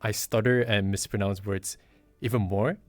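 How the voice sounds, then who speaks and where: A man's voice: fairly low pitch, steady tone, moderate pace, no room sound, soft background music. A man from Malaysia chatting candidly on a podcast, casual and with a bit of swearing. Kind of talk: casual group chat.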